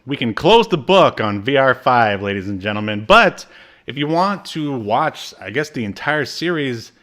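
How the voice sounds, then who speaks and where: A man's voice: mid-range voice, very animated, normal speed, fairly bright tone. A man co-hosting a podcast, giving an informal, joking review in casual conversation. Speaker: podcast co-host.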